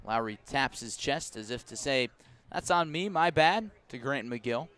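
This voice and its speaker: Male baseball announcer, American accent, mid-pitched voice, live radio play-by-play, measured delivery that rises on big calls. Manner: measured description rising to excited calls. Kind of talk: live radio sports commentary.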